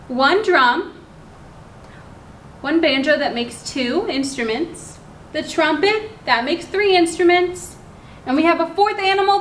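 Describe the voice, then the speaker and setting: High-pitched woman reading to young children. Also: fairly bright tone. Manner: simple, instructive, pausing to ask the listeners questions. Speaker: children's story time host at a library. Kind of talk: read-aloud storytelling for young children with questions. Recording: roomy echo, clear background noise.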